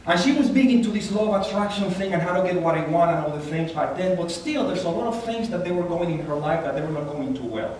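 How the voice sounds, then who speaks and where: A man with a Puerto Rican accent gives an earnest, animated inspirational talk as a guest speaker at a church. His voice sits a little high for a man. He is picked up from some distance in a very echoey hall, with faint noise behind him. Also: quick pace, few pauses. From Puerto Rico. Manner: earnest, animated, rises in pitch when stressing a point. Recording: echoey hall, taken from far off.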